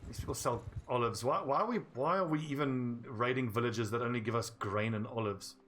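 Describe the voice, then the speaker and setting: A man's voice: mid-range voice, fairly quick, a bit bright, slight background noise. A young South African man with a South African accent, chatting casually while playing a game on a livestream and answering his chat. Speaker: streamer. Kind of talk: casual gameplay livestream commentary.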